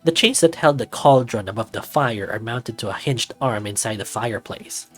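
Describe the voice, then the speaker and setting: Man reading and hosting, soft music behind. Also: mid-range voice, animated, moderate pace. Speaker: video host and visual novel reader. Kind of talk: narration and casual commentary.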